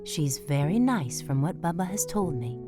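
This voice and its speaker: A gentle, wistful mother mouse, acted by a woman, speaks in a low voice with patient reassurance. It is audio drama dialogue, with guitar music playing clearly behind. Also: sing-song, normal speed. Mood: patient, soothing.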